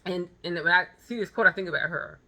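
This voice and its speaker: A woman's voice: on the low side, quick pace, sharp tone. A woman in her thirties giving a blunt, emphatic motivational monologue with personal anecdotes, repeating phrases for stress. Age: 30s. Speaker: vlogger and photographer.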